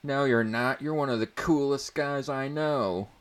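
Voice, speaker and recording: A man voicing a short, scrawny, excitable teenage boy with a dorky, eager manner in a story reading. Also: mid-range voice, unhurried, pitched above the performer's own voice, close to an everyday voice. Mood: earnest, encouraging. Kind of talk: dramatic audiobook reading.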